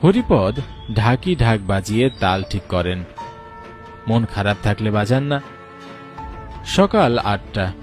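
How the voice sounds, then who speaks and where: Male story narrator over clear music. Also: lowish voice, sing-song, slow pace, long pauses. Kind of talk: scripted horror story narration. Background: music bed.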